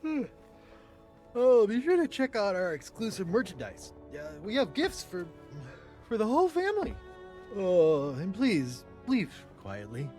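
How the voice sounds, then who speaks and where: A man's voice: high voice, huge pitch swings, a little slow, a little mellow, full character voice. A man playing a boastful, befuddled pirate hero in a video game, telling tales in a theatrical, self-important and absent-minded way. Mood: breezy, polite. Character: boastful, befuddled male pirate hero turned island governor. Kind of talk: video game voice acting, storytelling monologue. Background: music underneath.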